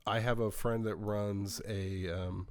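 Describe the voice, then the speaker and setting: Man, low pitch, casual rambling talk, podcast co-host. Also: flat delivery, slow, a little mellow.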